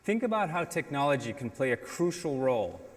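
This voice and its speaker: Man, middle-aged, prepared stage talk, deliberate pauses, long hall reverb. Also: mid-range voice, very animated. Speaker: conference speaker. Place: large indoor auditorium stage.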